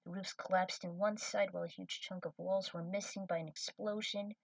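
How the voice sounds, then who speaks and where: A woman's voice: lowish voice, fairly even intonation, normal speed, vocal fry, dry recording, recorded from a distance, rough recording. Woman narrating a story, dry sound.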